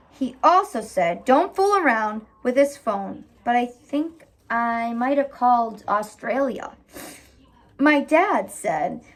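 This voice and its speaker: A woman reading a picture book aloud expressively as a teacher, stopping to put simple questions to a child. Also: a little high. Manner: animated, pauses to ask simple questions.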